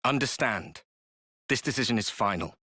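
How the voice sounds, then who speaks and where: An actor playing an unyielding military commander in a video game, clipped and authoritative. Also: male voice, medium pitch, animated, moderate pace, sharp tone, slightly rough, no room sound, clean studio sound. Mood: stern. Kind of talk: video game cutscene acting.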